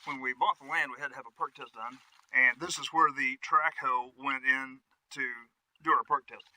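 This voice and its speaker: A man's voice: medium pitch, sharp tone, a little hiss or hum, rough recording. A man giving a casual, folksy, conversational tour of his property outdoors, as a vlog host. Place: outdoors on wooded rural land. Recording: music behind the voice.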